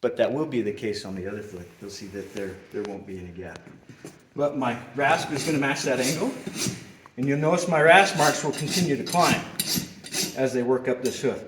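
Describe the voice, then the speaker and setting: A middle-aged man gives a patient, hands-on farrier lesson, talking while bent over a hoof. His voice sits at an ordinary pitch and carries the echo of a large barn. A faint background hiss runs under it. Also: normal speed. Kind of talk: hands-on trimming demonstration. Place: roomy barn with concrete floor. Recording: echoey barn.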